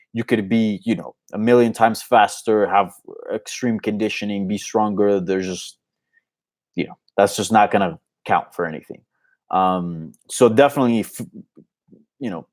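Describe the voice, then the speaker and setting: Male host, from Colombia, Spanish first language, lower voice, casual conversational live Q&A delivery. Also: a little mellow. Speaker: host of an MMA live Q&A show. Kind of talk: casual unscripted live Q&A commentary.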